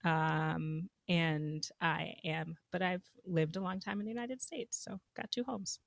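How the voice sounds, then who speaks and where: A young adult woman from Zambia co-hosting a casual podcast over a video call, expressive and playful, with plenty of fillers. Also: fairly low pitch, unhurried, creaky, no echo. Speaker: podcast co-host.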